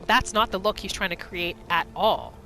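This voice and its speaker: A woman's voice: mid-range voice, normal speed, sharp tone, faint music behind. A woman hosting a gaming video, narrating her roleplay playthrough in a casual, chatty storytelling way. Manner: chatty, informal, storytelling in third person.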